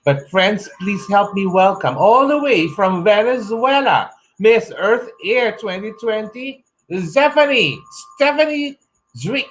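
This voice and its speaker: A man's voice: high voice, lively intonation, slow, slight background noise, low-quality recording. A middle-aged man hosting an online interview show over a video call, effusive and full of exclamations. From Philippines. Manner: gushing, effusive, exclaims a lot. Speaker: talk show host. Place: home room with shelves. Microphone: earphone headset mic on video call.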